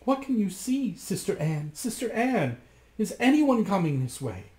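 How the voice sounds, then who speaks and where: A man's voice: high voice, animated, measured pace, a little mellow, pitched above the performer's own voice. A man voicing a frightened young wife who begs and calls out for help in a storybook reading. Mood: pleading. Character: frightened young wife pleading for her life. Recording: echoey room.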